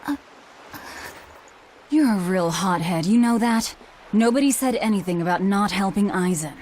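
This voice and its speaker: An actress playing a cool, hard-edged young antiheroine in a video game, curt, dry and commanding. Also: female voice, medium pitch, expressive, moderate pace, a little mellow, slight background noise, lightly acted. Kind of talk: English video game voice acting.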